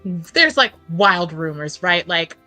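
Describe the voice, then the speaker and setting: A woman's voice: fairly low pitch, animated, takes it slowly, sharp tone, no echo, faint music behind. A woman playing a tabletop roleplaying game, chatting in an informal, sweary way with the game master. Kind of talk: casual game-table chat.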